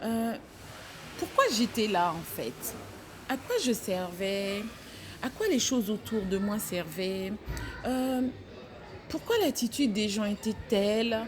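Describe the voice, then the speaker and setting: A woman from French Guiana, the founder of a fashion brand, talks about her childhood in an interview, slowly and hesitantly, with many fillers. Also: mid-range voice, animated, background noise.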